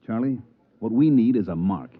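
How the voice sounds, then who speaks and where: An actor playing a slick, scheming American promoter in a 1950s radio drama, smooth-talking and wisecracking. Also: male voice, lowish voice, expressive, moderate pace. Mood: cool, scheming. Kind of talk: 1950s radio acting, narration and dialogue. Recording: muffled 1950s radio recording.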